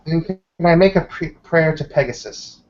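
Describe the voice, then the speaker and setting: A man's voice: a little high, moderate pace. A man playing a tabletop roleplaying game, narrating his character's actions in casual talk. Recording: dull, lo-fi voice recording.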